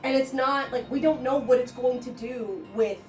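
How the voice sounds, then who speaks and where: A bluntly spoken woman on a casual podcast, her voice a touch high and quick with profanity. She sits back from the mic in an echoey room with faint music underneath. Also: far from the mic. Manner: fast, blunt, profane, does playful impressions. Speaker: podcast co-host.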